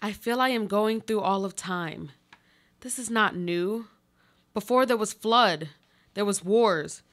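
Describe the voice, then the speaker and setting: Woman playing desperate ghostly double in a radio drama, medium pitch, solemn poetic and insistent. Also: slow, fairly bright tone. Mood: weary, pleading. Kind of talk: live radio play monologue.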